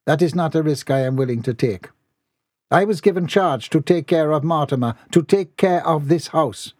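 A man's voice: fairly high pitch, normal speed, a little mellow, dry recording, clean studio sound, voice pushed up, lightly acted. A man voicing an anxious but authoritative woman housekeeper in a story. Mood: resolute. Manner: commanding, grave.

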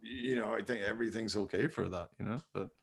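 A man with a creaky voice chatting casually as a host about making radio drama. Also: on the low side, fast. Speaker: podcast host, radio play maker. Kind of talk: casual behind-the-scenes chat.

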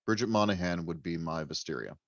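A man with a low, deep voice chats casually on a podcast. He is jokey and given to exclaiming. The recording is dry and a little muffled. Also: a little fast, no room sound. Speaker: podcast guest, writer. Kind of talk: casual chat. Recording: dull lo-fi audio.

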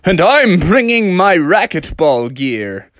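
A man plays an easygoing frog father in a children's story. He sounds mild and matter-of-fact, a little raised in pitch and close and dry. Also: big pitch swings, slow pace, a bit bright, no echo, exaggerated voice.

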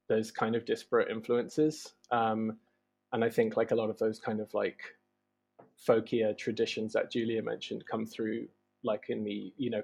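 A male musician talks loosely through his band's influences, stopping often on ums and likes. His voice has a gravelly edge with a husky, breathy grain. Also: fairly low pitch. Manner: hesitant, many ums and likes. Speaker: band member, guitarist. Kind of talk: casual interview chat.

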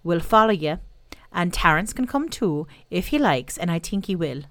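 A woman voicing a shrewd, steady old Irish woman in a storybook reading. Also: lowish voice, moderate pace. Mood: commanding.

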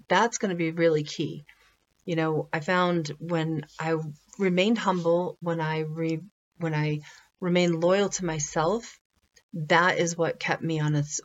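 A woman giving informal motivational advice in a conversational, halting way, repeating phrases for emphasis. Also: lowish voice, lo-fi sound. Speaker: motivational spiritual speaker.

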